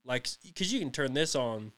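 A man's voice: medium pitch, lively intonation, normal speed, a bit bright, clean tone. A man in his late thirties co-hosting a casual podcast, rambling and laughing often as he chats. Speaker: podcast co-host. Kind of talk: casual chat.

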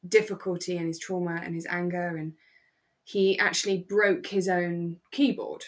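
Woman, British accent, lowish pitch, effusive and sentimental, relaxed podcast chat. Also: moderate pace. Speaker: podcast co-host. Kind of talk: relaxed fan chat about a radio drama.

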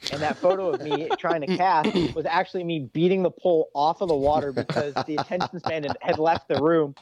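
Laid-back young racer, slightly high voice. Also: male voice, steady tone, fairly quick, a crowd in the background. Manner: laid-back, rambling, lots of "you know" and "dude". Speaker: ATV racer guest. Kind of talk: casual podcast interview.

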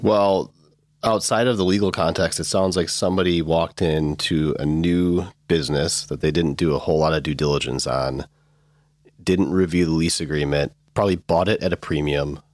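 A man's voice: low voice, steady tone, normal speed, a little mellow, no room sound, clean studio sound. A man with a Midwestern accent from Michigan hosting a casual legal podcast, easygoing and joking. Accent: Midwestern American. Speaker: podcast host.

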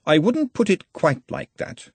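A man's voice: sits high, big pitch swings, unhurried, gravelly, breathy edge, no echo, pitched above the performer's own voice, exaggerated voice. A man voicing a practical, bossy but caring working woman with a no-nonsense manner in a fantasy audiobook. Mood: sensible, protective.

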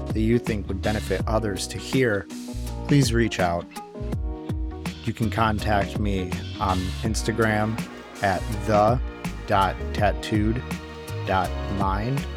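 A man with a fairly low voice opens his podcast with a measured, scripted introduction. Music plays clearly underneath his words. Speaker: podcast host.

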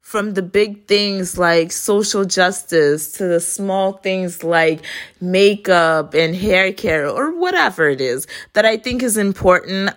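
Young woman, podcast host, lower voice, chatty and self-deprecating solo talk. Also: a bit bright. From Haiti. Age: young adult. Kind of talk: casual solo podcast monologue.

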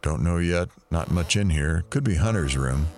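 Audio drama investigator, male actor: bass-low voice, dry sarcasm, unhurried and matter-of-fact. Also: lively intonation, a little mellow, soft background music. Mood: hesitant, uneasy. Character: dry, sardonic male investigator searching rooms.

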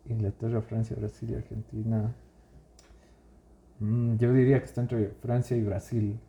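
Very dark-voiced Ecuadorian man asking questions. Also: on the low side, normal speed, creaky, reverberant. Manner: relaxed, asks questions and leads the talk. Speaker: podcast co-host. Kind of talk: casual chat.

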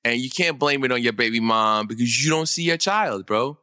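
Animated man on a podcast, crisp dry sound. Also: medium pitch, brisk, no echo. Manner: animated, agreeing, heated, slangy ("bro", "yo"). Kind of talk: casual podcast chat.

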